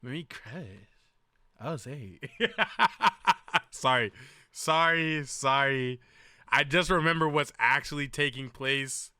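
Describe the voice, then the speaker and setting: A man's voice: medium pitch, slow pace, sharp tone, no room sound. A young man streaming, casually joking and laughing with slangy banter while reacting to a video.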